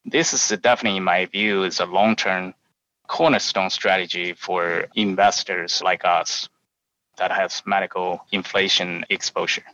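A man in his forties with a Chinese accent, a guest on a podcast interview, talking in a measured way with many fillers. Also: low voice, steady tone, bright, forceful tone, dry recording. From China. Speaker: guest, insurance investment professional. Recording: dull, lo-fi sound.

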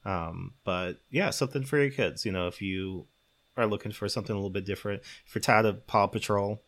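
A man's voice: on the low side, brisk, fairly bright tone. A man hosting a podcast, talking casually as he gives recommendations. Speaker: podcast host.

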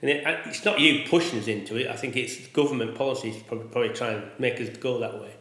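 A man who is a sheep farmer, talking casually in a loud voice and often ending with tag questions. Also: medium pitch, fast, echoey room. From England. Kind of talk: casual conversation.

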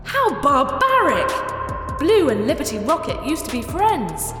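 Bratty, menacing female computer mind for sci-fi audio drama, high and swooping, sing-song taunts. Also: wildly swooping pitch, measured pace, a bit bright, full character voice. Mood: playful taunting. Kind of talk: science fiction audio drama acting. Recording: echoey, long reverb, music behind.

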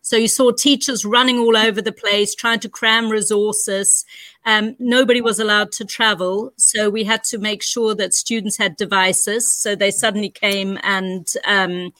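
A woman's voice: medium pitch, fairly even intonation, unhurried, sharp tone, no room sound. New Zealand woman, school director, articulate storyteller, dry sound. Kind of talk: podcast interview.

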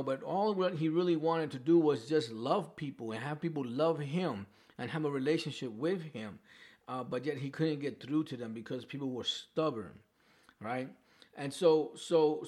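A man with a New York accent talks through a Bible chapter like a casual preacher. His pitch sits in the middle range, and he restarts his sentences and tosses in "you know" and "okay?" as he explains. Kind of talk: informal Bible teaching. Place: home with a dog barking in the background.

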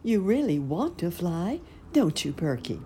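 Soft tender mother butterfly, lilting and slow, woman putting her on. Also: fairly low pitch, sing-song, slight background noise, voice pushed up. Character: gentle motherly monarch butterfly.

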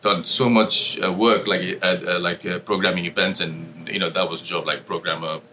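A man's voice: low-pitched, flat delivery, moderate pace, sharp tone, slight background noise. A man in his forties from Nepal, a restaurant owner and musician, telling his story in a loose, rambling chat full of fillers. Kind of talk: casual interview chat. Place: London.

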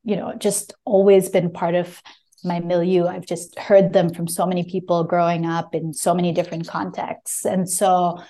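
Mellow, low-voiced woman speaking thoughtfully. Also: level tone, normal speed. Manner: thoughtful, hesitant, searching for words. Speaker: debut memoir author, guest. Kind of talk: reflective interview answers, with a short reading at the start.

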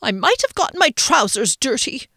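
A fretful, timid grown man, as a woman reader puts him on, whining high and wobbly with big swoops of pitch. He sounds dry and close, easily rattled and sorry for himself. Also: very animated, normal speed, pushed and bright, no echo, full character voice. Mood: petulant.

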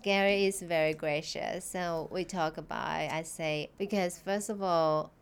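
A woman whose first language is Mandarin, speaking English in a hesitant, soft-spoken casual chat. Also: on the low side, steady tone, a little slow, smooth.

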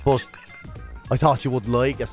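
A man's voice: mid-range voice, steady tone, moderate pace, faint music behind. An Irish man co-hosting comedic radio banter, telling stories in a mock-earnest way. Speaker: radio show co-host. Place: radio studio.

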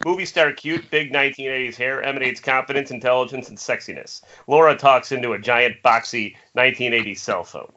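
Casting: male script narrator, mid-pitched bright voice, dull online-call recording. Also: brisk, hardly any pauses. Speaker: narrator of a table read. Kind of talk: scripted narration of screenplay directions. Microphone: remote video-call microphone. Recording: muffled internet call, faint noise.

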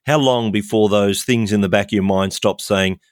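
A man hosting an interview, asking gently probing, thoughtful questions. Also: on the low side, steady tone, normal speed, clean tone, dry recording, clean studio sound. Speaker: podcast host.

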